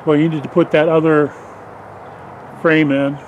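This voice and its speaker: A man's voice: a little high, level tone, a little slow, dull audio. A man with a somewhat raised voice talks through beehive work in a plain, matter-of-fact way. He is outdoors in a backyard and picked up by a camera a few steps off, with a light hum of outdoor noise behind him. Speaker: beekeeper directing a helper. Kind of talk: casual instructions while working. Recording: faint outdoor background noise.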